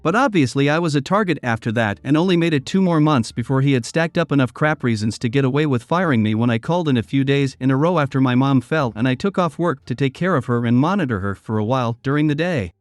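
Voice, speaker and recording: A man narrating reddit stories in a steady, scripted storytelling read. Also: mid-range voice, fast, few pauses, no echo. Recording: dry close sound with soft music behind.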